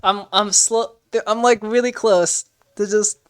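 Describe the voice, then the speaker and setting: A woman's voice: medium pitch, steady tone, a little slow, smooth. A young woman giving casual commentary while playing a video game, thinking aloud, reading game text aloud and swearing casually. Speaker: gaming streamer.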